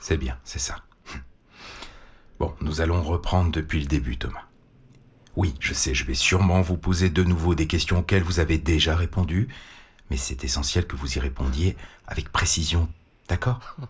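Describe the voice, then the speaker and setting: An actor playing a patient, methodical doctor with a husky, very deep voice, measured, soothing and insistent, in a naturalistic audio drama. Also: male voice, exaggerated voice. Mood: soothing, firm.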